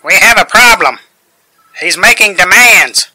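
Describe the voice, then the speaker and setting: A man plays an urgent policeman in a superhero audio story. The voice is shifted high and very forceful, tense and alarmed, in a dry recording. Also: expressive, a little slow, no room sound, heavily acted, rough recording. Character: tense, alarmed male police officer on bullhorn and radio.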